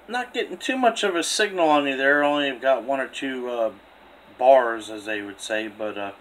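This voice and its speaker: A man's voice: medium pitch, a bit bright, poor audio. A man chatting casually as he demonstrates and tests two-way radio gear indoors, speaking as a radio operator. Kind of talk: casual radio test chat. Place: indoor room beside a radio set. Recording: faint background noise.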